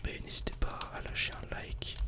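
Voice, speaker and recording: Man, whispered ASMR game commentary, breathy, unvoiced, casual, talking straight to the viewer. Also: normal speed. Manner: casual, soft-spoken, addressing the viewer directly. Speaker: ASMR gaming video creator.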